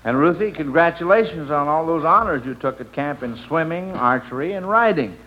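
A man's voice: a little high, expressive, fairly quick, highs cut off. An American man hosting an old-time radio quiz show, on a vintage recording.

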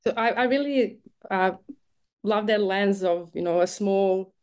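A woman hosting a podcast interview, friendly and quick to laugh, with some fillers. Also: mid-range voice, a little mellow, a little hiss or hum. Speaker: podcast host. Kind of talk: interviewing a guest.

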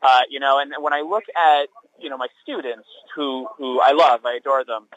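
A man's voice: medium pitch, fairly even intonation, normal speed, a bit bright, a little hiss or hum. A man in his thirties, a teacher, chatting wryly and rambling with lots of fillers, on a phone call from a sheltered spot outdoors. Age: 30s. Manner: rambling, wry, many fillers and restarts. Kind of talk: casual phone chat.

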